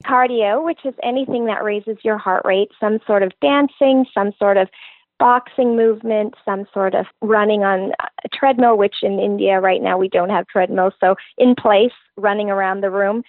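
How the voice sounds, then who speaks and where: A woman's voice: mid-range voice, steady tone, moderate pace, dry recording. A woman who works as a fitness trainer and author, earnestly and enthusiastically explaining her work in an interview. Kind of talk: interview answers. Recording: tinny phone-like line, dry.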